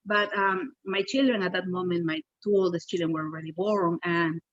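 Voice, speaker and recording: A woman's voice: lowish voice, steady tone, normal speed, no echo. Dry, slightly dull-sounding Peruvian-accented woman. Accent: Peruvian Spanish. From Peru. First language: Spanish. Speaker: guest children's author. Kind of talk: conversational panel introduction.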